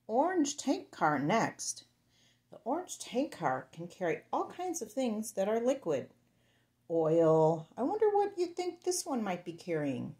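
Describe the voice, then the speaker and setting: A woman storyteller reads a picture book to little children at an unhurried pace. Her pitch moves around in a lively, sing-song way. She talks straight to her young listeners in a playful manner.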